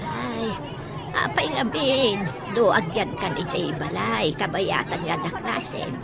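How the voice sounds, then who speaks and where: A woman's voice: mid-range voice, takes it slowly, vocal fry, over a noisy crowd. An actress playing a kindly elderly grandmother in a radio drama, chuckling and fussing over a younger woman. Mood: fond.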